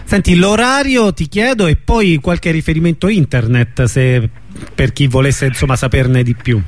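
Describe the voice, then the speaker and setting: A woman's voice: deep voice, moderate pace, slight background noise. A woman interviewing a guest.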